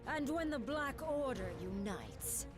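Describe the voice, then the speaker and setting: An actress playing an imperious villainess, lieutenant of a dark alien order, with theatrical delivery in a video game cutscene. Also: female voice, high-pitched, expressive, slow, music in the background. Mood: haughty threat.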